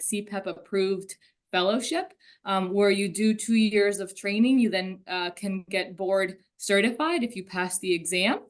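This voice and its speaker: Conversational woman from Colombia. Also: mid-range voice. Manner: conversational, storytelling with asides. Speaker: career panelist. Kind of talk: panel talk.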